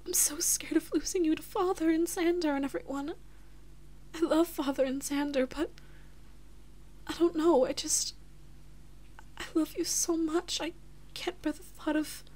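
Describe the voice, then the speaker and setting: A woman playing a devoted, anxious big-sister princess and lover in an intimate audio roleplay, speaking softly and haltingly with breathy, emotional whispers. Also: very high-pitched. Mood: terrified, pleading.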